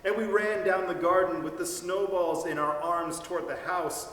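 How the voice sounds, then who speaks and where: Man, expressive storyteller, slightly high voice, recorded at a distance in a reverberant hall. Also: normal speed. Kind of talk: dramatic reading of a story. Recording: echoey hall, mic at a distance.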